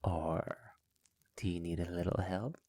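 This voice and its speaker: A sadistic male vampire master, acted by a man for ASMR roleplay, murmurs slowly and very close in a deep voice. The tone is husky and breathy, silky and possessive, with menace under the formal, teasing endearments. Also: steady tone, mellow, no echo. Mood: silky, commanding. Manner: slow, teasing, often dropping into breathy whispers. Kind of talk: ASMR roleplay, intimate scripted monologue. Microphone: close mic in a dry, quiet space.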